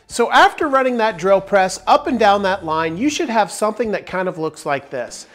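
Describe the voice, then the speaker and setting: A man's voice: high-pitched, expressive, normal speed, fairly bright tone, soft background music. Upbeat man explaining workshop steps. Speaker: woodworking channel host. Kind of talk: workshop tutorial narration. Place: large wooden workshop, indoors.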